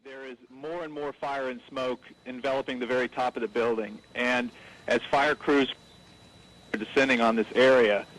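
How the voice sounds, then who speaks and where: A man's voice: medium pitch, steady tone, fairly bright tone, poor audio. A man reporting breaking news live on television, speaking urgently and in a rush. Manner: urgent, rushed, rising alarm.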